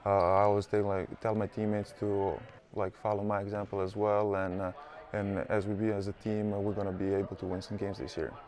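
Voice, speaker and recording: Young male athlete, Serbian accent, low monotone, halting speech with lots of "uh". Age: young adult. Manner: hesitant, many "uh" and "like" fillers. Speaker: college basketball player, guest. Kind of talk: casual interview answers. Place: studio set.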